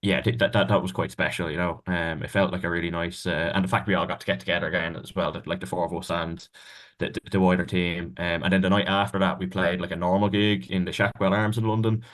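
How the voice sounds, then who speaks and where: Guest, male musician, very low voice; easygoing, halting answers. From Ireland. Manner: relaxed, hesitant with many ums and restarts. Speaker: band member, guest. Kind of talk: casual interview answers.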